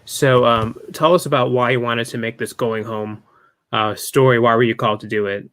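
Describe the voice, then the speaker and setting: A man's voice: mid-range voice, steady tone, normal speed, fairly bright tone, smooth. Upbeat male interview host. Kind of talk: casual interview over video call. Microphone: video call.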